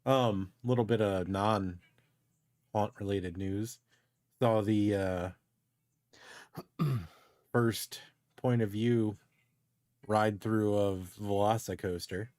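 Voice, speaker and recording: A man's voice: on the low side, steady tone, very slow, long pauses, darkish tone, dry recording, clean studio sound. A man co-hosting a livestream, chatting casually with his partner and the viewers. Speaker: livestream co-host. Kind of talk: casual chat.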